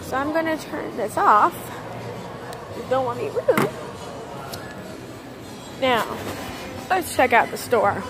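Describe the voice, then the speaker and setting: A woman's voice: high voice, very animated, takes it slowly, pauses often, music in the background. Young girl rambling through a toy review. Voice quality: raspy. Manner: rambling, distracted, chatty. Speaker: vlogger. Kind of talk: casual toy review vlog. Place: large indoor retail store. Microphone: handheld phone camera.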